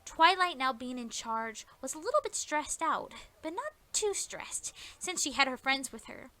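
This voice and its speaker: A woman's voice: sits high, lively intonation, normal speed, bright, forceful tone. A woman narrating a scripted fan audio story in a dramatic storytelling style.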